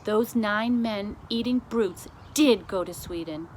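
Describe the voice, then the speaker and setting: A woman reader puts on a storybook queen, brisk and imperious. She speaks emphatically and deliberately, unflappable and used to being obeyed, over light background noise. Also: mid-range voice, close to an everyday voice, poor audio. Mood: brisk, resolute.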